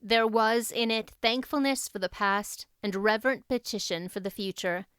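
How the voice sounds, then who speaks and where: Female audiobook narrator: smooth, fairly high voice, expressive storybook reading, dry close sound. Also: moderate pace, no room sound.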